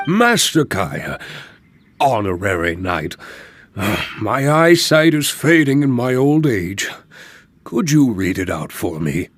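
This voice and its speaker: An actor voicing a kindly elderly male winery worker in a game cutscene, speaking gently and fondly. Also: lowish voice, very animated, slightly rough, dry recording, exaggerated voice. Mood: delighted. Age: older. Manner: gentle, fond, unhurried. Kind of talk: video game cutscene dialogue. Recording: game audio with background music.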